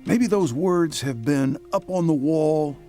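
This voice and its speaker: A man narrating a radio drama as a reflective storyteller, deliberate and literary in his phrasing. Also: fairly high pitch. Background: music.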